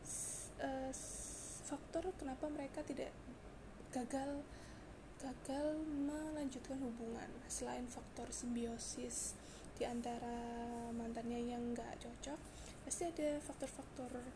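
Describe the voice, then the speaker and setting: A hoarse young woman hosting a casual solo podcast, chatty and confiding, with frequent fillers and restarts. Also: sits high, little pitch movement, a little slow, background noise. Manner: chatty, confiding, mixes in English words, many fillers and restarts. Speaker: podcast host.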